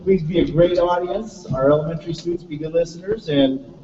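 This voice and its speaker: A man's voice: fairly high pitch, a little fast, crowd noise. An American man giving an informal, off-the-cuff welcome to an audience at a school event, speaking somewhat hesitantly. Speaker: school representative introducing an event. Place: large echoey room at a school event. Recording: echoey room, distant mic, muffled, noisy.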